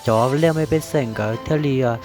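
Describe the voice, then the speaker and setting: Male cartoon character, played by a man, middling pitch, music behind. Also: moderate pace, lightly acted. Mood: talkative. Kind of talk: cartoon story voice acting.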